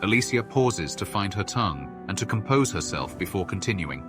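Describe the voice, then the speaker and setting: A man playing a solemn storyteller, reading scripted fantasy game narration in a measured, formal way. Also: sits low, sing-song. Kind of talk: scripted second-person narration. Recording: faint music behind.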